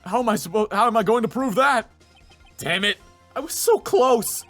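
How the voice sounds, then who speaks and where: A man voicing an earnest, excitable young male defense attorney in a video game dub, with emphatic theatrical delivery. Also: very high-pitched, normal speed, faint music behind, voice pushed up, full character voice. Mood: frustrated.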